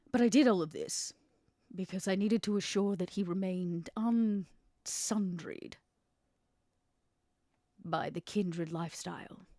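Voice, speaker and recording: Scheming vampire businesswoman acted by a woman, lowish voice, theatrical and insinuating, close dry sound, game podcast. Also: a little mellow, dry recording. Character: Vera Volkov, scheming, worldly female vampire businesswoman. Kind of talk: in-character tabletop roleplay.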